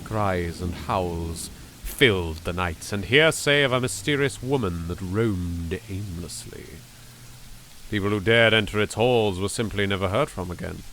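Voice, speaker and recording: Man putting on a grave, low British horror narrator. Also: background noise. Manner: measured, grave, lingering on phrases. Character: solemn, ominous storyteller of a haunted mansion legend. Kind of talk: dramatic horror game narration.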